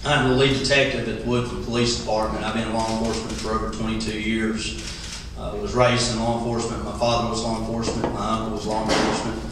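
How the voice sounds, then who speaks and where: A man's voice: fairly low pitch, fast. A man in his forties with a Western North Carolina mountain accent, giving a plainspoken, folksy introduction as a political candidate. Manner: plainspoken, folksy, steady and level delivery. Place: large library meeting room, indoors. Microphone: table mic, recorded from a distant camera. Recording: echoey hall, distant mic, room noise.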